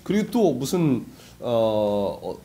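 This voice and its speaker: Mellow male interviewer with a cold. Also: mid-range voice, sing-song, slow pace. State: has a cold with a sore throat. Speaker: interviewer, host of a business talk show. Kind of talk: casual conversational interviewing with frequent backchannels.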